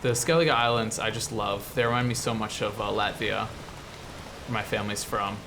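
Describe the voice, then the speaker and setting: A man's voice: fairly low pitch, fairly even intonation, moderate pace. American man, casual commentary over noisy background. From US Midwest. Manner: rambling, explanatory, enthusiastic. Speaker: show host, 3D artist. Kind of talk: casual game commentary while playing.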